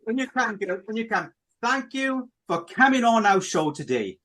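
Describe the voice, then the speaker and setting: Enthusiastic male host with a high voice, casual and rambling, keeps calling out to listeners. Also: big pitch swings, normal speed, a bit bright. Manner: chatty, repetitive, keeps addressing listeners. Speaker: podcast host. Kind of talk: casual radio chat.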